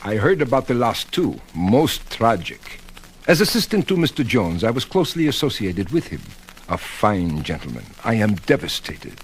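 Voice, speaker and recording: An actor playing a haughty, pompous professor and deluded conquistador villain, with formal theatrical diction in a seventies superhero audio drama. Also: male voice, on the low side, big pitch swings, moderate pace. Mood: grave, measured. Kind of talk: 1970s superhero record audio drama acting. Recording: reverberant hall sound with background hiss.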